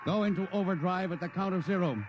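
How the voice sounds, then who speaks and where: A man's voice: high-pitched, fast talker, background noise, natural voice. Seasoned spaceship captain in vintage radio drama acted by a man. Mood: commanding. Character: seasoned spaceship captain, commanding yet loyal and weary. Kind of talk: 1950s radio drama acting. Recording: dull vintage 1950s radio recording.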